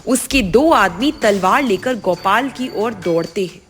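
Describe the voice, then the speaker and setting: A woman storyteller giving dramatic scripted narration of an animated tale. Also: mid-range voice, measured pace, loud music behind the voice.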